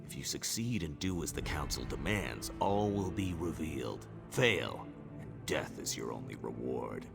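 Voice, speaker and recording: A man playing a stern, devout orc elder in a fantasy video game, with a gravelly voice and a grave, measured, cryptic manner. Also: heavily acted. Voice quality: very deep, gravelly. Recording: background music under the voice.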